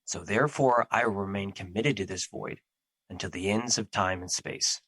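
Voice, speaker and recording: A personified emotion in an audio drama, acted by a man, with a lowish, bright voice. He is humble and full of self-doubt, speaking in formal, solemn phrases. The recording is close and dry. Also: normal speed, slightly rough, no echo, poor audio. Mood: despairing. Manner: formal, solemn, earnest diction. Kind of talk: theatrical audio drama acting.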